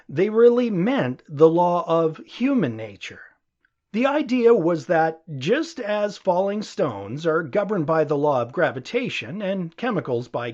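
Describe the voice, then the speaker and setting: A man reading an essay as an audiobook in a deliberate, expressive, explanatory manner, as scripted narration. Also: high-pitched. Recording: dull, lo-fi audio.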